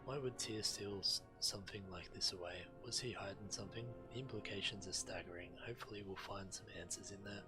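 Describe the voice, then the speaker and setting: Curious explorer, male actor, game quest dialogue. Low register, enthusiastic and awed, music behind. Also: fairly quick, hardly any pauses, close to an everyday voice, rough recording. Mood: awed, excited. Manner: enthusiastic, wondering. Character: excitable, curious explorer scholar, awed by ancient relics.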